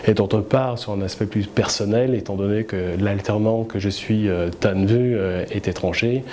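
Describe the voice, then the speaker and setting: Man, workplace tutor, low mellow voice, faint background hum. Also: fairly even intonation. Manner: measured, hesitant with fillers. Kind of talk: corporate video testimonial.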